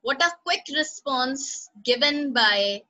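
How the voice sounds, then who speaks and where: A woman's voice: high-pitched, expressive, takes it slowly, highs cut off, noisy background. A female teacher, leading an online class, encouraging students by name and repeating instructions. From Pakistan.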